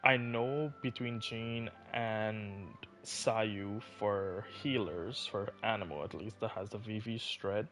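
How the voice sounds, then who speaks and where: A man's voice: lowish voice, slow, few pauses, fairly bright tone. A man giving casual live commentary as a game streamer. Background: game music behind the voice.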